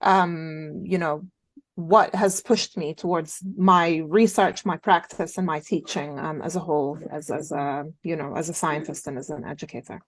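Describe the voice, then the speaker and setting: A woman in her forties who grew up in Jordan with Arabic as her first language speaks in a fairly low voice. She answers at an unhurried pace, pausing often and filling gaps with "um" and "you know". The recording is dry and close, with no room sound. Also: fairly even intonation, dry recording. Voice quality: thoughtful, many "um" and "you know" fillers. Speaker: interview guest, occupational therapist. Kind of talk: conversational interview.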